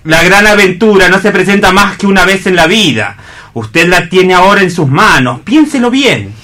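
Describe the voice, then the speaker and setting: A man's voice: sits high, expressive, moderate pace, sharp tone. A man playing an eloquent, persuasive newspaperman in a radio theatre reading, emphatic and theatrical, with an accent from Spain. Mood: romantic urging. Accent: Spanish (Spain). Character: eloquent newspaperman, worldly, persuasive male mentor.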